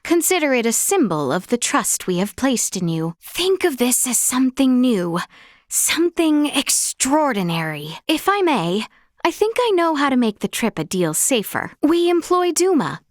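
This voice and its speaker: An actress voicing a young crown princess in a video game, noble, earnest and gracious, with formal, archaic, courtly phrasing. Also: female voice, high voice, very animated, normal speed, no echo, clean studio sound. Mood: composed, earnest.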